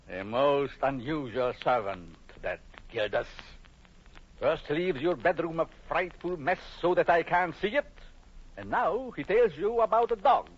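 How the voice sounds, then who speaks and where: A man acting a suave, jealous doctor in old radio horror, courteous and insinuating, with deliberate, menacing pauses. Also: on the high side, slightly rough. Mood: veiled menace. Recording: muffled old radio broadcast, faint hiss.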